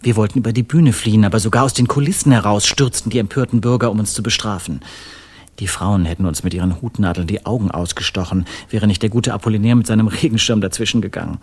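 An actor playing an indignant, dramatic avant-garde poet in a German radio drama, telling things in an emphatic, flowery way. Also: male voice, fairly low pitch, quick pace, slightly rough. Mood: relishing, dramatic.

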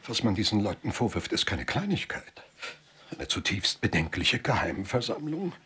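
An actor playing a sly, corrupt male police commandant in a German radio drama, husky and evasively insinuating. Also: fairly low pitch, very animated, moderate pace, pushed and bright, exaggerated voice. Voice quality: husky, breathy.

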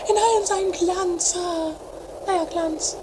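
Scatterbrained sister for comedy radio play: squeaky, very high, dithering, whiny; noisy background. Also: fairly even intonation, a little slow. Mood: scatterbrained, chatty. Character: Mathilde, scatterbrained whiny sister, fussy and dramatic. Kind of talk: improvised 1980s comedy radio play, travesty.

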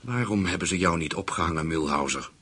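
An actor playing a menacing, calculating interrogator in a radio drama, measured and commanding. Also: male voice, low-pitched, animated, pushed and bright, husky, exaggerated voice. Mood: cold menace. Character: menacing, calculating male interrogator, leader of Nazi conspirators.

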